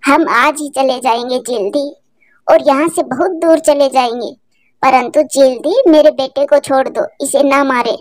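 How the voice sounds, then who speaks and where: A woman's voice: high-pitched, a little slow, dry recording, clean studio sound. A woman voicing an anxious, emotional mother sparrow in a cartoon, devoted and pleading.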